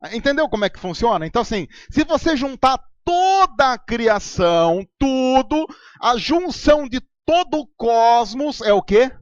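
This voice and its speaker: A man's voice: very high-pitched, big pitch swings, normal speed, no echo, highs cut off. A man preaching a sermon as pastor in a Brazilian Portuguese accent, talking conversationally with sarcastic asides and ironic voices. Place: church hall.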